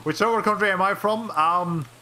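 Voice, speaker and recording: A man's voice: high voice, moderate pace, bright, forceful tone. A young man from Colombia, a native Spanish speaker, chatting casually on a livestream in a rambling way with many fillers and restarts. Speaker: VTuber streamer.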